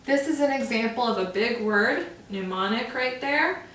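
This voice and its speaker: Teacher lady reading to little kids, kinda high voice, echoey room, mic far off. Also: animated, moderate pace, fairly bright tone, a little hiss or hum. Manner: explains words to children. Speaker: first grade teacher. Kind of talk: reading a picture book aloud.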